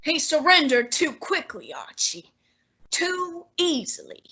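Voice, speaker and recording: A woman's voice: high voice, wildly swooping pitch, slow pace, pushed and bright, exaggerated voice, low-quality recording. A young woman voicing a pompous, imperious male detective in an amateur radio play, with a haughty, clipped manner. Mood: scornful. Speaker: high school drama student.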